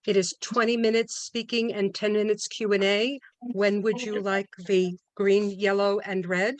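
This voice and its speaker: A woman hosts an online club meeting over a video call, reading a guest's introduction in a measured, formal way. She speaks slowly, and her voice sounds close and dry. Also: mid-range voice, flat delivery, no room sound. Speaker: meeting host.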